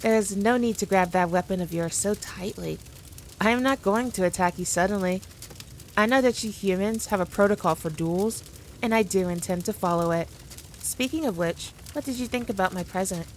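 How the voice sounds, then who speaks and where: A woman's voice: medium pitch, moderate pace, a little hiss or hum, natural voice. A woman playing a teasing, condescending demon queen in an ASMR roleplay audio drama, taunting the listener with haughty playfulness. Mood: smug, composed. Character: all-powerful demon queen, smug, dominant, teasing, condescending.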